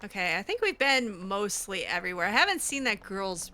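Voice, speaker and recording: A woman's voice: medium pitch, sing-song, brisk, bright, forceful tone. A woman roleplaying her way through a fantasy video game, improvising in character with a hesitant, wry, sarcastic manner. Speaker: gamer roleplaying a mage heroine.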